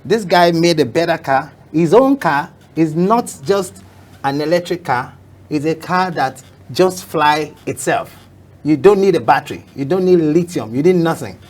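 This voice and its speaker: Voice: mid-range voice, animated, a little slow, a little mellow. A talk show guest telling an emphatic story, often repeating phrases for effect. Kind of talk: motivational talk show conversation.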